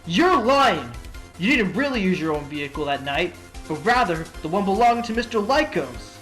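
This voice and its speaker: Man playing a determined, higher-voiced fox defense attorney. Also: very animated, a bit bright, faint music behind. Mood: confrontational. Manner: emphatic, argumentative, dramatic pauses. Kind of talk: courtroom audio drama acting.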